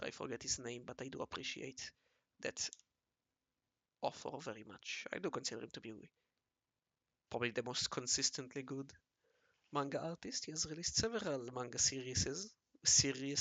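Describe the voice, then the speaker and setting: A man with a raspy voice talking casually to himself while he draws, mumbling and correcting himself as he goes. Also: medium pitch, sharp tone, no room sound. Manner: mumbling and self-correcting, often murmuring half to himself. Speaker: hobby artist narrating his drawing session. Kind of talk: casual think-aloud commentary while drawing.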